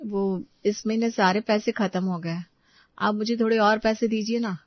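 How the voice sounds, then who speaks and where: A woman's voice: medium pitch, steady tone, moderate pace, no room sound, close to an everyday voice. Woman playing young indian housewife in a family story, dutiful n fretting, dry muffled recording. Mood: aggrieved. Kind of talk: acted Hindi family story dialogue.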